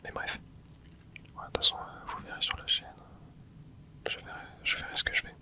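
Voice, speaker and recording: An ASMR creator giving soft, whispered commentary while playing a video game, rambling and hesitant with lots of fillers and restarts. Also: brisk. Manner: soft unvoiced whisper throughout.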